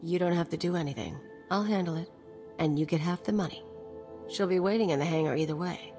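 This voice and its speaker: A brash female mercenary from a video game, played by an actress, talking in a lowish register. She is sardonic, scheming and quick to hold a grudge. Long reverb trails her voice and music plays behind. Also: lightly acted. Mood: cocky. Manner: sardonic, cocky, slangy.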